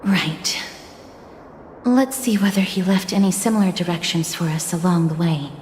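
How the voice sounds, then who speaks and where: A woman playing a composed, earnest young woman in a video game, gentle and reserved, with measured, polite phrasing. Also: lowish voice, slight background noise, close to an everyday voice. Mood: composed, subdued. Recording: echoey reverb, faint music behind.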